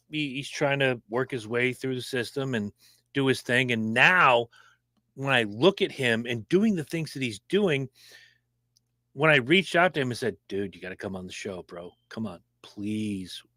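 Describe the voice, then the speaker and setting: A man co-hosting a casual racing podcast, opinionated and animated, talking fast. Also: medium pitch, no echo. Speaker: podcast co-host. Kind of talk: casual sports chat.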